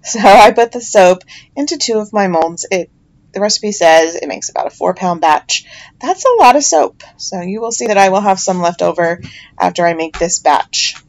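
A woman's voice: mid-range voice, animated, normal speed. Chatty middle-aged woman narrating a voiceover. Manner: chatty, self-deprecating, with fillers and restarts. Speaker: home soap maker. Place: home, recorded as voiceover.

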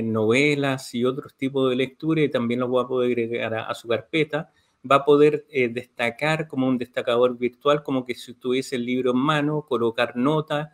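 A middle-aged man with a Chilean Spanish accent, explaining services step by step on a video call, with many "eh" fillers. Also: medium pitch. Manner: steady, detailed, with frequent "eh" fillers. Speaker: university library official. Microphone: video call webcam.

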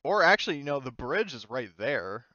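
A man's voice: medium pitch, sing-song, normal speed, bright, forceful tone, dry recording, highs cut off. A man running an online tabletop game as game master, explaining casually with hesitant, trailing asides. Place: indoors on an online voice call.

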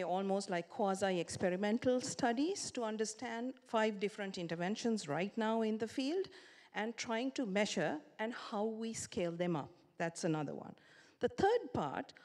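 A middle-aged woman policy researcher explaining her work on a conference panel, speaking in a measured way into a handheld mic. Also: medium pitch, a little mellow. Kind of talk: panel discussion. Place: large conference hall. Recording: long hall reverb.

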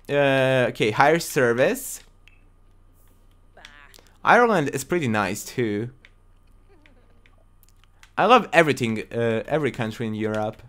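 Young man, non-native English speaker, animated pitch, chatty and excitable, gaming stream, desk mic. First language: not English. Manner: chatty, excitable, talks to chat viewers. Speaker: livestream host. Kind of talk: casual gaming livestream chat.

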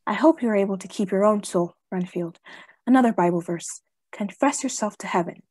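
A woman playing a stern, commanding professor in a radio play, speaking in a deliberate, declamatory way. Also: medium pitch, a little mellow, dry recording, lightly acted. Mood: stern, forceful. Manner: declamatory, deliberate, scripture-quoting.

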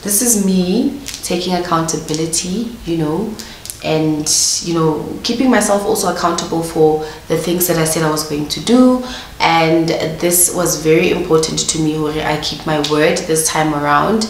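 A woman's voice: deep voice, moderate pace. A young woman with a South African Sotho accent, chatting casually to camera in a vlog, her voice nasal from a flu. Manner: chatty, mixing English with Sesotho, pet names for viewers. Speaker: vlogger. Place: small room indoors, couch, pink lighting. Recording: roomy indoor sound with faint noise.

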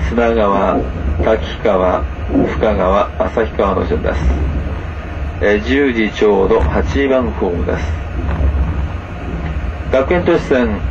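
A man making a formal train announcement with many hesitant filler pauses, heard through a loudspeaker on a moving train. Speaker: train conductor. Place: inside a moving sleeper train. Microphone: camera recording the train's PA speaker. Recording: muffled PA speaker over rumbling train noise. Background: train running noise.

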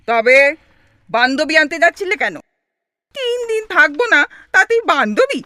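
A woman plays a long-married, exasperated Bengali wife in an audio comedy. Her voice is high and bright, swooping theatrically as she scolds and exclaims, nagging but fond underneath. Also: very animated, normal speed, no echo, studio quality, exaggerated voice. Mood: scolding. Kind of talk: comic audio drama acting.

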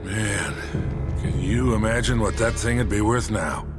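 An actor playing a gruff, skeptical middle-aged treasure-hunting mentor with an American accent in an adventure game, dry in manner. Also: male voice, lowish voice, unhurried, lightly acted. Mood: surprised. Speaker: hero's sidekick in adventure game. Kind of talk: naturalistic cinematic game acting. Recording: music behind the dialogue.

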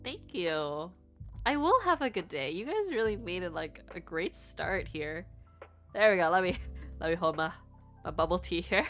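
A woman's voice: fairly low pitch, sing-song, moderate pace, fairly bright tone. A young woman VTuber chatting casually with her livestream viewers in a rambling, giggly manner. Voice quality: stuffy nose at times. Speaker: VTuber streamer and artist. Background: faint background music.

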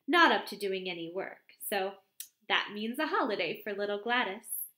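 A woman's voice: medium pitch, animated, normal speed, pushed and bright. A woman voicing a chatty, arch young secretary who gushes and gossips in an audiobook reading. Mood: gossipy. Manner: chatty, breathless, exclamatory. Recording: roomy echo.

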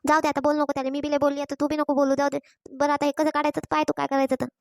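A woman's voice: sits high, monotone, very fast, no echo, studio quality. A woman voicing a bossy, nagging village grandmother in a cartoon comedy, with a rural Maharashtrian accent. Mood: exasperated. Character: scolding, exasperated village grandmother. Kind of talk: Marathi cartoon comedy dialogue.

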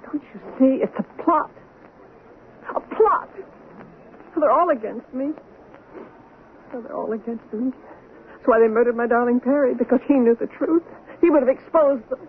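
Actress as defensive, troubled woman, high voice, tinny. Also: normal speed, long pauses, close to an everyday voice. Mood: paranoid, bitter. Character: troubled, defensive drinking actress suspected of murder. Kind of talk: 1970s radio drama acting. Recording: noisy, tinny 1970s radio broadcast.

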